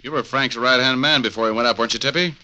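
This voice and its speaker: An actor playing a tough, wisecracking private eye who talks in a sardonic, hard-boiled way, in 1940s radio acting style. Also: male voice, mid-range voice, fast, pushed and bright.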